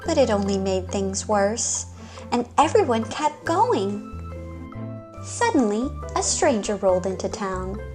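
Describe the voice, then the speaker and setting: A woman hosting story time, reading aloud to young children in an expressive, deliberate storytelling manner. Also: medium pitch, music in the background.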